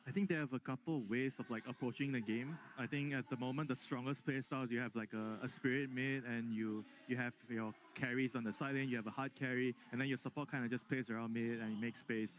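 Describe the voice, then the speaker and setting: A man's voice: mid-range voice, fairly even intonation, fast talker, hardly any pauses, slight background noise. A man giving conversational strategy analysis as an esports panel analyst.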